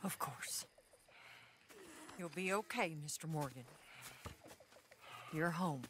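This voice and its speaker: A woman's voice: sits low, slow pace, a little hiss or hum. A woman voicing an anxious, motherly member of an outlaw camp in a dramatic video game. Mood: tender, soothing.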